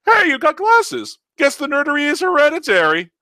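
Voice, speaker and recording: A man's voice: very high-pitched, huge pitch swings, normal speed, sharp tone, no echo, studio quality, voice pushed up, heavily acted. A man voicing a cocky, ancient immortal man who teases everyone breezily. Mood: teasing. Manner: breezy and teasing.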